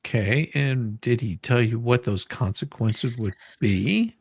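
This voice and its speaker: Probing, sympathetic deposition lawyer, read aloud by an amateur, gravelly and halting, close dry sound. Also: medium pitch, no room sound. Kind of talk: dramatic reading of a legal deposition transcript.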